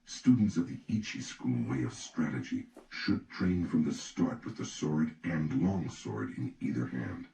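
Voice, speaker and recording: A man reading scripted audiobook narration in a measured, instructive manner. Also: a bass voice, expressive, vocal fry, mellow. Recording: echoey, distant, noisy recording.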